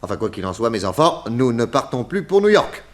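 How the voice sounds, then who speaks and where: An actor playing a brisk, courteous and wry detective boss in a 1960s French radio drama, switching between probing questions and orders. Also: medium pitch, very animated, close to an everyday voice. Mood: measured, wry.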